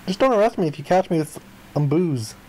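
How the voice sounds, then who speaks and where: A man voicing a flippant, grandiose young amnesiac castaway, reading visual novel dialogue aloud in an expressive way. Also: a little high, fairly quick, slight background noise, natural voice, rough recording. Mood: breezy, joking. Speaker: video game player reading aloud. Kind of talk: dramatic read-aloud of visual novel text.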